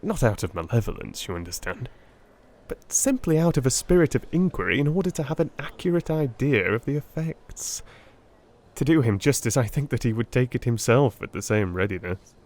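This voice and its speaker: Man acting a young Victorian gentleman, gossipy, hesitant, emphatic, very lively pitch, storybook dialogue. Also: fairly quick, natural voice. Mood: uneasy, confiding. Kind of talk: dramatised Victorian audiobook dialogue.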